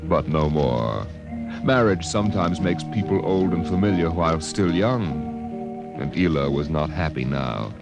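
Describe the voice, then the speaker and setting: A man with a fairly low voice narrates a literary radio drama. He tells it in a measured, evocative way, with loud music behind him. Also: expressive, creaky. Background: loud music and effects.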